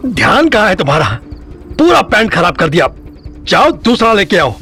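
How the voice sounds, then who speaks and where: A short-tempered middle-aged husband in an audio drama, put on by the narrator, scolding in a high, cracking, gravelly voice that leaps up and down. He sounds a little off-mic, with soft music behind. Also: big pitch swings, moderate pace, full character voice. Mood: angry scolding. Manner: angry scolding, shouting.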